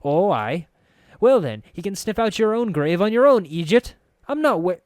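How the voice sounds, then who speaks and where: A woman's voice: fairly low pitch, sing-song, normal speed, darkish tone, no room sound, studio quality, voice pushed up. A man voicing a brash, sneering young woman scavenger with a snappish, scornful way of talking.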